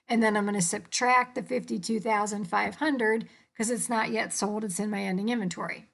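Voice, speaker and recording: A woman's voice: on the high side, gravelly, a bit bright. A woman instructor informally explaining worked accounting problems step by step.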